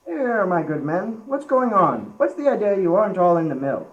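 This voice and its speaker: A woman's voice: mid-range voice, sing-song, normal speed, muffled recording, a little hiss or hum, natural voice. A young teenage girl playing an exasperated, blustering male mill boss in an amateur school radio play. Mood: indignant.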